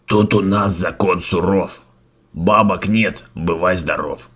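A man voicing a fairy-tale villain as a mercenary, gruff street dealer, curt and slangy, in comic rhyme. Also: deep voice, slow, a bit bright, highs cut off, full character voice. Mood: dismissive.

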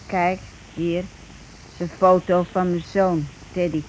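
A woman's voice: on the low side, flat delivery, slow pace, darkish tone, rough recording. An uneasy wife in a radio play. She is hesitant and faltering, often repeating a word before going on. The old recording carries light noise. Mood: wistful. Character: nervous, hesitant wife, a bereaved mother and accomplice. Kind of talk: naturalistic radio play acting.

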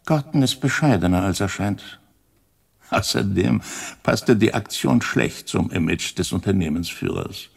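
An actor playing a composed, courteous elderly man with a gravelly, husky voice in a radio drama. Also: low voice, very animated, unhurried, exaggerated voice.

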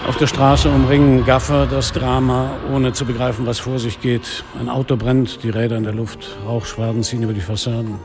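Schauspieler als abgeklärter Kommissar mit knarziger Stimme. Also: male voice, mid-range voice, little pitch movement, brisk, hardly any pauses, over music, natural voice. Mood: somber. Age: middle-aged. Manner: blunt, sardonic, literary phrasing. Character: weary, defiant, sardonic middle-aged male police inspector. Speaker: lead actor and first-person narrator. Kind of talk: German radio crime drama, noir narration and dialogue.

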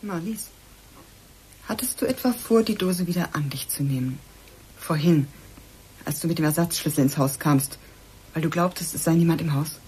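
An actress playing a sceptical, controlled friend in a radio play, asking pointed questions. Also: female voice, low-pitched, normal speed, long pauses, darkish tone, husky. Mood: sceptical, probing. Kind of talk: German radio play acting.

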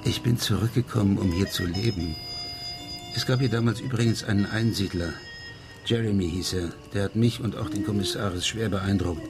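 An actor playing an unruffled, worldly middle-aged former policeman, talking calmly and wryly in a radio crime drama. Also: lowish voice, moderate pace, music in the background, close to an everyday voice. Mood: calmly explaining. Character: unruffled former Amsterdam policeman living abroad, worldly and wry.

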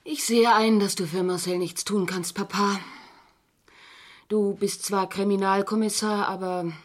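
A woman's voice: mid-range voice, steady tone, measured pace, natural voice. An actress playing a pert young woman in a crime radio play, goading her father with pointed, teasing mock pity. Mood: teasing, provoking. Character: pert, goading grown daughter of a police inspector.

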